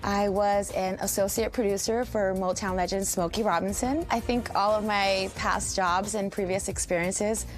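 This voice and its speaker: A woman's voice: mid-range voice, steady tone, moderate pace, few pauses. A woman in her thirties from California introduces herself as a game show contestant. Her mid-range voice stays composed and matter-of-fact, with faint music underneath. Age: 30s.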